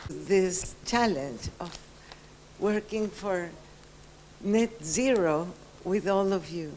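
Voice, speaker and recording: A middle-aged woman from Peru gives an earnest reflection to a conference workshop, pausing often as she speaks. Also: lowish voice, background noise.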